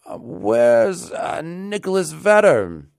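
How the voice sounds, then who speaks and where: A humble old villager from a storybook, acted out by a male reader, bewildered and pleading. His voice is pushed up high and swings widely as he exclaims and hesitates anxiously. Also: very animated, creaky, a little mellow, no room sound, clean studio sound, full character voice. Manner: hesitant, halting with uhs, exclaiming. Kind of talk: dramatic storybook character reading.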